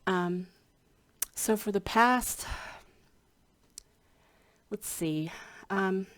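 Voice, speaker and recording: A woman's voice: medium pitch, extremely slow. A woman, a spiritual hypnotherapy practitioner, chats casually to her viewers, a little congested with a slightly scratchy voice, rambling with many ums and restarts. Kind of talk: casual chat to camera.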